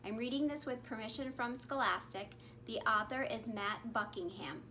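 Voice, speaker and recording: A woman's voice: on the high side, normal speed, pushed and bright. A woman reading a picture book aloud expressively to young children. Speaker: kindergarten principal. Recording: faint background noise.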